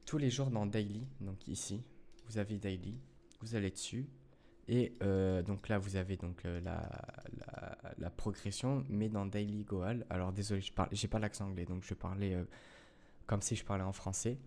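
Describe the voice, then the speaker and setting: A man's voice: fairly low pitch, steady tone, normal speed, dark tone. A man talking through a gameplay tutorial in casual French, rambling with many fillers and self-corrections as he addresses his viewers. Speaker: gaming youtuber.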